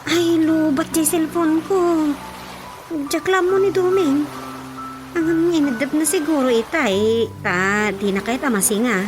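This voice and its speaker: An actress playing a respectful, accommodating younger wife, polite and lilting, in an Ilocano radio drama comedy. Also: female voice, sits high, steady tone, a little slow, poor audio. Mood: explaining. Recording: music behind the voice.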